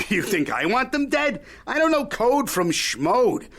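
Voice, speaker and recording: An actor playing a shrewd, folksy old media mogul in an audio drama, haggling in a wry, needling, Yiddish-peppered way. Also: male voice, very high voice, very animated, takes it slowly, fairly bright tone, full character voice. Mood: scheming. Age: older.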